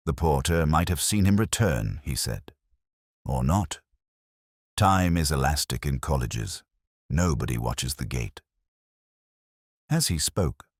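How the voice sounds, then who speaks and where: A man narrating a scripted first-person detective story in a measured, solemn, literary manner. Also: extremely low pitch, husky, no room sound, studio quality.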